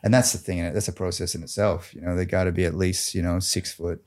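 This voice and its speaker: A man playing a boastful, crude real estate agent of about forty with an American accent, deadpan and halting in an improvised mock interview. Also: sits low, close to an everyday voice. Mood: cocky, deadpan. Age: around 40. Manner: cocky, deadpan, swearing, halting.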